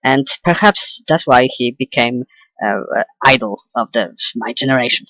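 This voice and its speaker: A young adult man giving an opinionated, informal video essay as a game commentator, halting and correcting himself as he goes. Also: mid-range voice, a bit bright, no echo, poor audio. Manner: hesitant, halting delivery with self-corrections.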